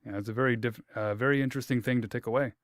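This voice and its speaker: A man's voice: medium pitch, steady tone, rapid-fire, no room sound, studio quality. A man hosting an interview, asking long rambling questions with self-corrections and a light, joking manner. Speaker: interview host.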